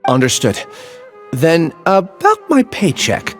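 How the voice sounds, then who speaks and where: An apologetic young part-time researcher in a game, soft-spoken and earnest. His voice sits fairly high and moves in lively swings. Faint music plays behind him. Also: slow, full character voice. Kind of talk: game voice acting.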